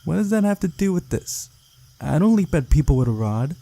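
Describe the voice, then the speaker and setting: Visual novel role: indignant, insistent young camp girl, high and husky, played by a man. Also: very animated, fairly quick, warm tone, no room sound, exaggerated voice. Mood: accusing. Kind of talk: visual novel character read-aloud.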